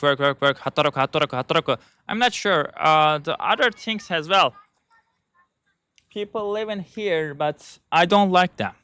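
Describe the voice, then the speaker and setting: A man, talking in a bitter, halting vlog monologue with frequent restarts. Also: a little high, pushed and bright, clear, resonant tone, dry recording. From Turkey. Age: 20s. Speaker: YouTuber.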